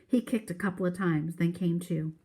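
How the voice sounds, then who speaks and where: A woman's voice: on the low side, steady tone, moderate pace, dark tone, breathy edge. A proud old Southern country man , read by a woman, spins a folksy yarn. The telling is drawn out and earnest, in storybook read-aloud style. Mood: earnest storytelling.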